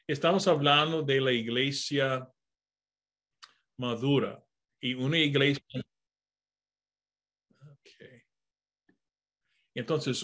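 A man's voice: mid-range voice, fairly bright tone. A man pastor leading an online Bible study over a video call, talking with many "ah" fillers and correcting himself as he goes. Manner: unhurried, with frequent "ah" fillers and self-corrections.

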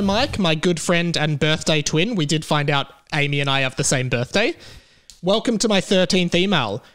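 A man's voice: a little high, fairly bright tone. A man with an Australian accent hosting a casual comedy podcast over a video call, chatting and reading out listener mail. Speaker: podcast host. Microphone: remote video call recording.

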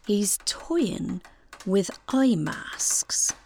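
An actress playing a bossy, incurious, dutiful woman in a comic audio drama, brisk and scolding. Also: medium pitch, expressive, vocal fry, mellow, a little hiss or hum, full character voice. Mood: dismissive. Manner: brisk, scolding, matter-of-fact.

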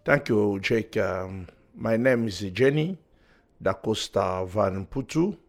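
A man, speaking as a podcast guest in halting, earnest English. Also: lowish voice, lively intonation, faint music behind. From Democratic Republic of Congo. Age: 40s. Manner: halting non-native English in short earnest phrases. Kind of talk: conversational interview, personal testimony.

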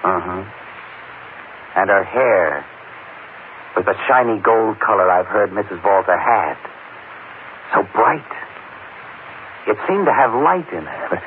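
An actor playing a shrewd, hard-boiled crime photographer with slangy, deliberate phrasing, in 1940s radio drama style. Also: male voice, lowish voice, animated. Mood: coolly insinuating. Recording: tinny, noisy old radio recording.

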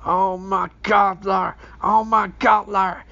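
A masked male killer burns and howls in pain, his cries pitched high above his usual voice and loud, as a hoarse man acts it out. The recording sounds dull and lo-fi. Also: flat delivery, measured pace, exaggerated voice. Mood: agonized wailing. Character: burning masked male slasher, screaming in pain.

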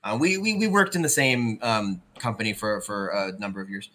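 A man's voice: fairly low pitch, normal speed, a bit bright. A young man in his twenties, co-hosting a podcast, talking casually with many ums and restarts. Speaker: podcast co-host.

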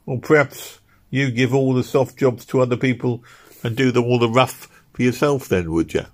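A man's voice: mid-range voice, moderate pace, voice pushed up, close to an everyday voice. A man voicing a sly, sarcastic workman in a storybook reading. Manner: sarcastic, offensive.

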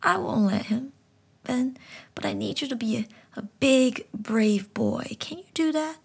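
A woman voicing a small, kind male frog who speaks softly and coaxingly. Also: high-pitched, lively intonation, a little slow, gravelly, breathy edge, voice pushed up, exaggerated voice. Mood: tender coaxing.

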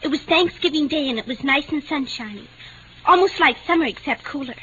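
An actress playing an earnest, excitable young girl in an old-time radio drama, with breathless, pleading, childlike delivery. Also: sits high, a little fast. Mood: earnest storytelling. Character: earnest, frantic young girl telling her story. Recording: dull old radio recording, music behind.

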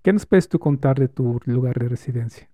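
Mellow-voiced male podcast host. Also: mid-range voice, moderate pace, dry recording. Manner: friendly, hesitant questioning with fillers. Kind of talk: casual interview.

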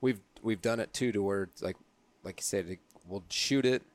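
A man hosts a casual interview in an easygoing way, tossing out loose questions and many short nods of agreement. His voice sits somewhat low, and the sound is dry and close. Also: normal speed, dry recording. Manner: easygoing, with lots of short backchannel replies. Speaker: interviewer, host.

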